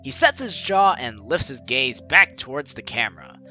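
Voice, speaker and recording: A man's voice: mid-range voice, very animated, normal speed, bright, forceful tone, muffled recording, soft background music. A man reading a story game aloud as a let's player, with dramatic narration and casual asides. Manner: dramatic reading, slipping into offhand comments.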